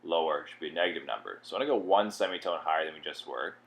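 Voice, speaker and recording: A man presenting a software tutorial in a plain-spoken, explanatory way. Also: a bass voice, lively intonation, rapid-fire, gravelly. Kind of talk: instructional software walkthrough.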